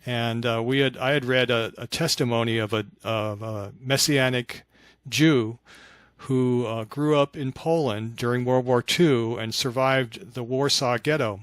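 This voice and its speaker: A mature man speaks as an interviewed author, in a conversational storytelling style. His delivery is reflective and halting, with a medium-pitched voice recorded close and dry. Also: no echo. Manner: halting and reflective, animated when acting out remembered dialogue. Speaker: podcast guest, author of fiction.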